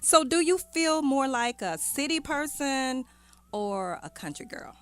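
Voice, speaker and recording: A woman's voice: sits high, lively intonation, unhurried. A woman hosting a casual radio interview, putting questions to a guest in an affirming, conversational way. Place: radio studio. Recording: music playing behind. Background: music.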